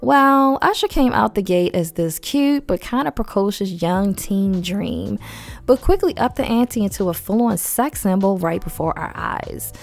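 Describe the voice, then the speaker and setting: A woman's voice: mid-range voice, expressive, measured pace, gravelly. A woman hosting a casual podcast, stuffy and losing her voice from a head cold, reading facts with chatty, slangy asides. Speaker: podcast host.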